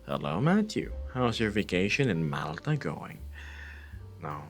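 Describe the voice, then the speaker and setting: A low-pitched man hosts a casual live stream with a teasing, playful manner. His delivery swings widely up and down, and he laughs often. Faint music plays behind him. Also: big pitch swings, a little slow. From Philippines. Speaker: livestreamer. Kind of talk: casual livestream chat with viewers.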